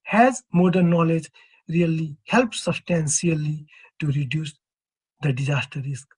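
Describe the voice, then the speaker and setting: Husky Nepali-accented man presenting. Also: a little high, a little mellow. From East Nepal. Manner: measured, earnest, poses questions to the audience. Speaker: earthquake engineer presenting at a convention. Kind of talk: prepared conference presentation.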